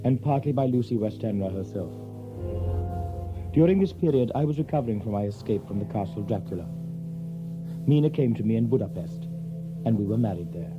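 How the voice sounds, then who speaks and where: An actor playing a grave Victorian gentleman who narrates an audio drama in a formal, measured way. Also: fairly low pitch, expressive, close to an everyday voice. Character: grave Victorian gentleman recounting past tragic events. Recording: muffled, music behind.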